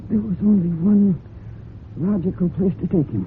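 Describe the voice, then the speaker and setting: An actress playing a desperate, guilt-ridden woman in a radio mystery, with a halting, intense and deliberate delivery. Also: medium pitch, lightly acted. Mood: anguished, intense. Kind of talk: dramatic radio mystery acting. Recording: noisy, narrow-band old radio recording.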